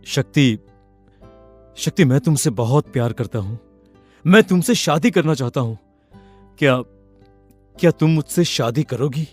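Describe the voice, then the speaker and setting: A man voicing a courteous, earnest young landlord's son in love, in a Hindi animated story. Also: medium pitch, a little slow, long pauses, husky, soft background music. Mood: ardent.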